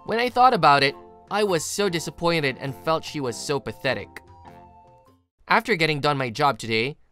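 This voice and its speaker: A woman's voice: low voice, expressive, normal speed, a bit bright. A woman reading scripted story narration. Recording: music behind the voice. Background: plucked guitar music.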